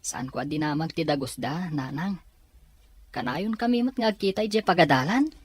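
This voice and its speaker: A woman's voice: sits low, expressive, moderate pace, husky, dry recording. A woman playing a respectful young student talking with a mother in an Ilocano radio drama. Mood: respectful, hesitant.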